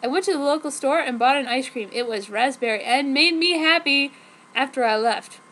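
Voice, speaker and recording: A woman's voice: sits high, normal speed, a bit bright. A woman giving a theatrical, comic dramatic reading of fan fiction, delivering misspelled words exactly as written. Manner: theatrical, reads misspellings literally.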